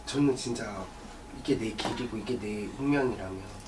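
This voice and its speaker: A man's voice: on the low side, moderate pace. A young man in his twenties, a client answering a shaman with brief agreeing replies. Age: 20s. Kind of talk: consultation answers. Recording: distant echoey mic with noise.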